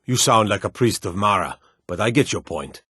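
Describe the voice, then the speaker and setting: Low-voiced, stern fantasy lord. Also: male voice, lively intonation, sharp tone, slightly rough, dry recording, studio quality, heavily acted. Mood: gruff, matter-of-fact. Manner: formal, measured, authoritative. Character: stern, dutiful male fantasy lord and soldier. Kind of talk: video game character dialogue.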